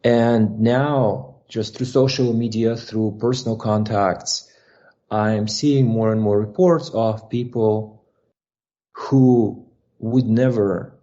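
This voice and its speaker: A man's voice: lowish voice, steady tone, slow, dark tone, lo-fi sound. A man speaking as a guest analyst on a policy panel, thoughtful and reflective, often checking in with "right?". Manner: thoughtful, ends points with "right?". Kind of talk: expert panel discussion.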